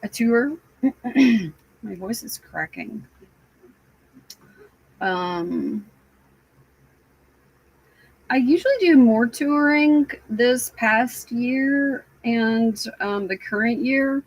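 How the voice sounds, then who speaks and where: A woman live streamer chatting casually with her viewers, sounding stuffy and raspy, rambling with lots of fillers. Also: on the high side, no room sound, poor audio. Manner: slow, rambling, many fillers.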